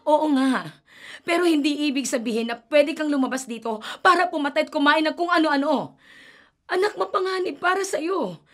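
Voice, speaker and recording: A woman's voice: sits high, normal speed, close to an everyday voice. A woman playing a frantic, whispery mother. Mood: anxious. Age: middle-aged. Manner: tremulous, whispery, anxious. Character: desperate middle-aged mother hiding her undead daughter. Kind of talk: radio drama acting.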